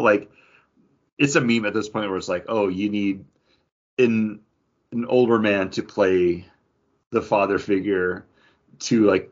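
Husky-voiced man chatting casually. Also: on the low side, highs cut off. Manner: rambling, self-quoting, peppers talk with "like". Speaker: podcast co-host. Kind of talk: casual pop culture chat.

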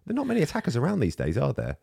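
A man's voice: mid-range voice, huge pitch swings, racing, gravelly, warm tone, slightly rough, dry recording, studio quality. A man in casual chat as a co-host, ranting and self-mocking. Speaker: podcast co-host.

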